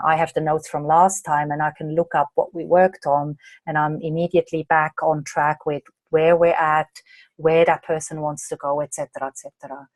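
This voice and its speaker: A slightly hoarse woman from Australia, a coach answering viewer questions in a chatty way during a live online masterclass late at night. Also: deep voice, darkish tone, no room sound. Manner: chatty, reads out viewer comments and answers them. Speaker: coach and presenter.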